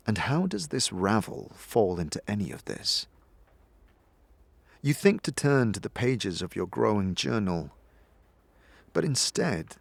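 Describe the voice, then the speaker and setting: Slow, low-voiced male story narrator. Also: gravelly, no echo. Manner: measured, deliberate, atmospheric. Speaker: narrator and game master. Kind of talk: dramatic second-person story narration. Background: music.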